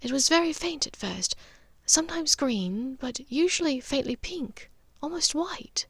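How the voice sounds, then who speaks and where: A high, soft voice of a gentle aristocratic witch mother, put on by a woman narrator. She is knowing and wistful, pausing delicately and sometimes fading toward breathiness. It is an audiobook character in a dry, close recording. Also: animated, no echo, exaggerated voice.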